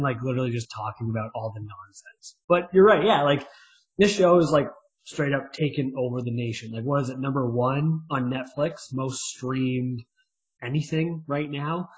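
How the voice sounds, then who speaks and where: Male podcast co-host, mid pitch, joking and self-mocking, slightly muffled audio. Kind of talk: casual podcast chat. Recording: dull lo-fi sound.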